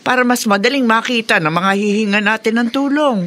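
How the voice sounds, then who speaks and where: A woman's voice: on the high side, brisk, a bit bright, close to an everyday voice. A woman acting a worried, questioning mother in a horror radio drama, with a Filipino accent. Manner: fretful, questioning.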